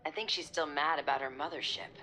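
A woman's voice: deep voice, fairly even intonation, quick pace, a little hiss or hum. A woman playing a tough young special operative in a video game, terse, guarded and dry. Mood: cool, dry. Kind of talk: video game cutscene acting. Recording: loud game music behind.

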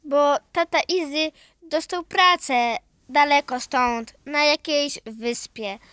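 A woman's voice: sits high, takes it slowly, sharp tone, no room sound, studio quality, heavily acted. A woman playing an earnest, precocious, worried little granddaughter in a children's radio play.

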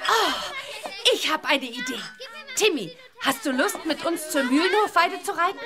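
A woman playing a spirited, kind young witch girl in a children's audio play, perky and expressive. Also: high-pitched, normal speed, crowd noise. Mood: cheerful, kind.

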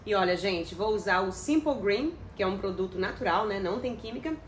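A woman's voice: mid-range voice, lively intonation, brisk, a little hiss or hum. A middle-aged woman, chatting casually to her viewers while organizing her kitchen drawers. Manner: chatty, addresses viewers as "gente", uses many diminutives. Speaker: home organizing vlogger. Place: home kitchen.

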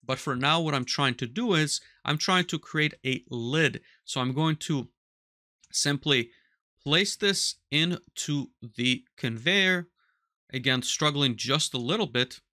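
A man in his thirties giving a step-by-step software tutorial on automation, talking methodically over a headset mic in a small workshop. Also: a little high, slow, no echo, studio quality. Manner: explanatory, methodical, walks through steps aloud. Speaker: automation instructor. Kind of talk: screen-recorded software tutorial. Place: small workshop room with control panels.

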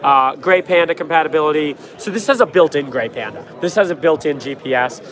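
A young man hosting a casual livestream from a trade show floor, answering chat in a quick, offhand, joking way, his voice worn from a cold. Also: on the high side, fairly bright tone. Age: young adult. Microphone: phone held at arm's length. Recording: noisy crowded convention hall. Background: crowd chatter.

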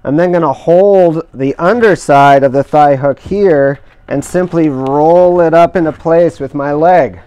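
A middle-aged man calmly explaining each step of a hands-on installation as he works. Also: a little high, smooth. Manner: careful, measured explaining while working with his hands. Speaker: instructor demonstrating kayak outfitting. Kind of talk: step-by-step how-to demonstration.

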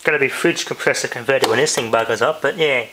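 Male DIY vlogger, mid-range voice, casual off-the-cuff delivery, clearly noisy handheld camera audio. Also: medium pitch, quick pace. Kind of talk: casual hands-on repair commentary. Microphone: handheld camera's built-in mic.

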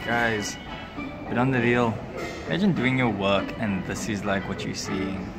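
Excited young man vlogging in a cafe. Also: on the low side, a little slow, gravelly. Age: young adult. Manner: excited, chatty, filler-heavy. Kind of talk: casual vlog. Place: indoor cafe with classic cars. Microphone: phone camera at arm's length, no lapel mic. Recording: phone audio with loud background music. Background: loud music playing in the cafe.

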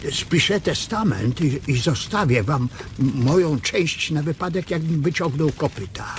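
A man's voice: fairly high pitch, animated. An actor in a radio drama playing a lonely, timid old ranch hand who stammers and hesitates as he pleads. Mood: anxious hope. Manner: halting, stammering, full of hesitations. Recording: noisy ambience behind the voice.

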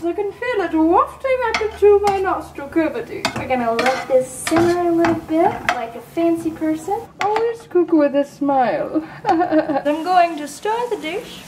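A woman's voice: very high-pitched, noisy background. A woman in her twenties, goofing her way through a silly home cooking show in a small kitchen, filmed on a handheld camera. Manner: playful, silly, self-mocking. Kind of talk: goofy mock cooking show. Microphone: handheld camera at arm's length. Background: sixties French pop playing.

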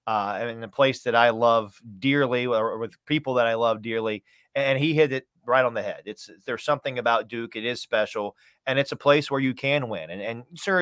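A man's voice: medium pitch, a bit bright, clean tone, no room sound. Emphatic sportscaster man, dry close sound. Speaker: sports play-by-play announcer, podcast guest. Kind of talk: conversational sports interview. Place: small home room, video call.

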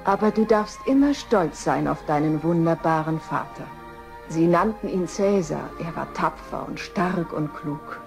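A woman's voice: deep voice, animated, measured pace, vocal fry, exaggerated voice. Tender lioness mother, music behind. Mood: tender, solemn. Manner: tender, solemn, instructing. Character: gentle, brave lioness mother, tender and firm. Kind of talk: German children's radio play.

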